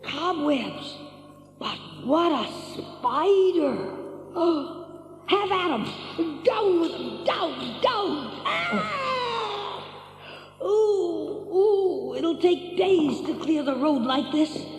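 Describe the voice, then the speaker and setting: Fretful, devoted male hobbit sidekick for fantasy audio drama: high, excitable voice ringing in long cavern reverb. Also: very slow, exaggerated voice. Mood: alarmed, fierce. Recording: echoey cavern reverb, faint noise.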